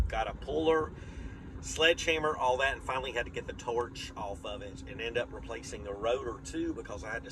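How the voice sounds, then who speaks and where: Folksy man over vehicle noise. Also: medium pitch. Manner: folksy, plain-spoken. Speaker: vlogger. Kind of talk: casual rambling vlog chat. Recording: clear vehicle and outdoor noise.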